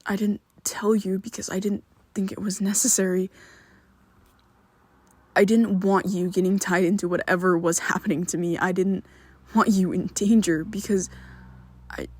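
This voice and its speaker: A woman voicing a secretive, protective young male vampire best friend in an ASMR roleplay, talking haltingly and often dropping to a whisper. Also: mid-range voice, steady tone, a little mellow, no room sound, close to an everyday voice. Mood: pleading.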